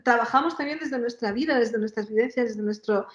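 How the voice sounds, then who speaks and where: A woman's voice: on the high side, fairly quick, lo-fi sound. A woman in her sixties with a Madrid Spanish accent, chatting casually as an interview guest and often tagging her phrases with little questions. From Madrid, Spain. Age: 60s. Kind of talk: casual conversation. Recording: roomy echo on voice.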